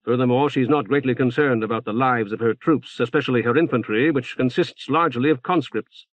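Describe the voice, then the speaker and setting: A man reading an audiobook, playing a smooth, sardonic, calculating male councillor. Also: mid-range voice, brisk, no room sound, lightly acted.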